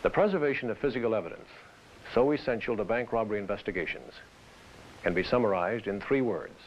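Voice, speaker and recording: A man presenting scripted instruction in a formal, deliberate manner. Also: lowish voice, animated. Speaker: training film presenter. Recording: dull hissy vintage training film soundtrack.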